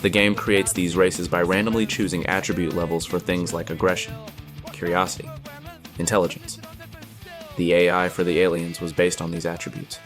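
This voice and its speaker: A man acting as a measured narrator in a science fiction audio drama. His voice is deep and keeps to a flat, even line. Music plays clearly underneath. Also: natural voice. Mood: explanatory. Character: male game tester narrating, measured explainer. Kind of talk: scripted explanatory drama narration.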